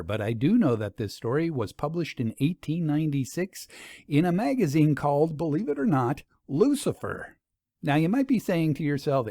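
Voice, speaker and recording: Expressive male storyteller with lively intonation. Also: fairly high pitch. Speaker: host and storyteller. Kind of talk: scripted dramatic story narration.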